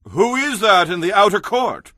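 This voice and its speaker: A man's voice: sits high, expressive, normal speed, pushed and bright, no room sound, clean studio sound. An actor playing a powerful, imperious Persian king in a dramatized audio Bible, speaking in a theatrical, declamatory way. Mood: brisk, commanding.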